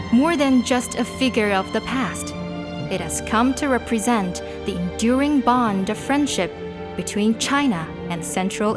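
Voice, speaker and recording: Woman doing formal earnest story narration, highish voice, music clearly under it. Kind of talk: solemn scripted storytelling narration. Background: music behind the voice.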